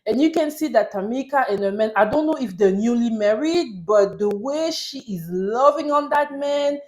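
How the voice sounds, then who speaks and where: A woman's voice: fairly high pitch, lively intonation, moderate pace, a little mellow. A woman from Paris with a French accent, speaking English as a second language, gives a casual, chatty and enthusiastic recap as a video host. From Paris, France. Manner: chatty, enthusiastic fan talk. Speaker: YouTube host reviewing reality TV.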